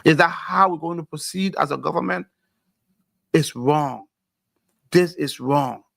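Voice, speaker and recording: Gravelly Liberian man railing passionately. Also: on the high side, a little slow, fairly bright tone, no room sound. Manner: emphatic, fires rhetorical questions, repeats words when pressing a point. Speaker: panel commentator. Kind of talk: impassioned political commentary.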